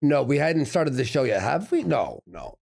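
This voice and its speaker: A man's voice: fairly high pitch, sing-song, normal speed, creaky, a little mellow. A man co-hosting a show, reacting casually in blunt, emphatic, repetitive phrases with plenty of swearing. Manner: blunt, emphatic, repeats himself. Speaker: show co-host. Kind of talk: casual profane reaction talk.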